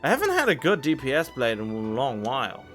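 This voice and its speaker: A man's voice: mid-range voice, wildly swooping pitch, a little fast, fairly bright tone, faint music behind. A man giving casual gameplay commentary as a streamer, musing to himself in a rambling way. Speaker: game streamer.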